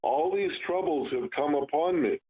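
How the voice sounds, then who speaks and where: A grieving, protective old father, read aloud by a man. His voice is a little higher than the narration and comes through a thin, phone-like radio band. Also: expressive, normal speed, natural voice. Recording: tinny phone-like radio sound.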